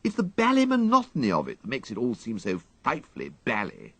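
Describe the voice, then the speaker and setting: A man voicing a fretful, flighty young upper-class English gentleman who dithers and exclaims plaintively, in an audiobook story. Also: mid-range voice, wildly swooping pitch, a little fast, vocal fry, breathy edge, dry recording, voice pushed up, full character voice. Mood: petulant, morose. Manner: exclamatory, dithering, drawling dandyish complaint.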